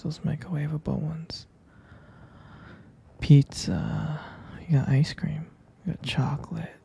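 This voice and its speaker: A man acts out a tender, protective vampire boyfriend in an intimate ASMR roleplay. His voice is a very husky, breathy murmur, thick with creak and very soft and dark. He takes his time, hesitating between affectionate pet names and gentle teasing. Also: fairly high pitch, heavily acted. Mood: caring, gentle.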